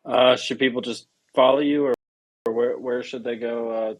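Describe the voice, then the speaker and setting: A man with a mid-pitched voice hosts a loose online voice chat. He jokes easily and hesitates with frequent fillers. He draws guests out in a relaxed, friendly way. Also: normal speed, no room sound, highs cut off. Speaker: podcast host. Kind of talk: hosting a casual voice space.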